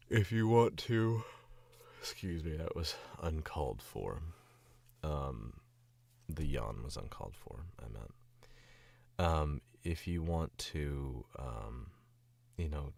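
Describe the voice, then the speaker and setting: A man's voice: very deep voice, vocal fry, dry recording. A sick man from the Southern US with a Southern accent, chatting casually and rambling on a stream as a voice actor. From Southern United States. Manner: rambling and distracted, chuckling often. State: sick, voice dropped lower.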